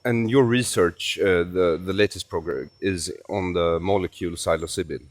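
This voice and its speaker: A man hosting a conversational interview, asking short questions with hesitant pauses partway through. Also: sits low, no room sound. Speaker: podcast host, interviewer.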